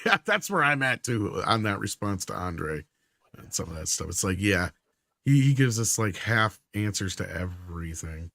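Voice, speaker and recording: A man's voice: fairly low pitch, sing-song, normal speed, pushed and bright, dry recording. Casual male stream host, dry close sound. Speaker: live stream host reading viewer comments. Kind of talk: casual panel chat.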